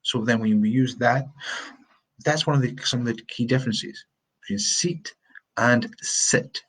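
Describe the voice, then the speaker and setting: A Scottish man in his thirties giving a live-streamed pronunciation lesson, chatty and rambling, repeating words and sounding them out slowly. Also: mid-range voice, breathy edge, rough recording. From Scotland. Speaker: English teacher. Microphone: phone or webcam on a live stream.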